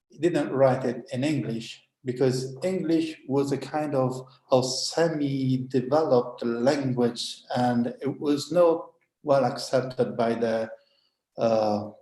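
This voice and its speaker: A man's voice: mid-range voice, mellow, reverberant, low-quality recording. A man whose native language is Italian, giving a hesitant conference talk on an online panel, with many fillers and restarts. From Italy.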